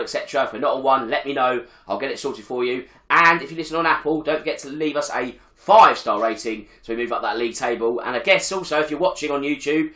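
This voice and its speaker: A man hosting a football podcast, hoarse from a chest infection, talking in an upbeat, chatty way. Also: on the high side, bright, forceful tone, roomy sound. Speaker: podcast host. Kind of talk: casual football podcast chat.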